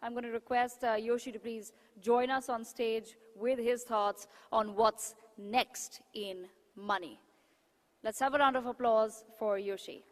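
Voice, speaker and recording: A woman's voice: on the high side, fairly even intonation, unhurried. A woman hosting a conference, making formal announcements from the stage. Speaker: event host. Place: large conference hall stage. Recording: echoey hall with long reverb.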